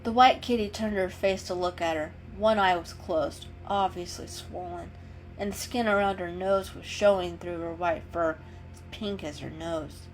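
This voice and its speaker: A woman's voice: lowish voice, normal speed, poor audio. A woman giving a dramatic storybook narration as a storyteller. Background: music.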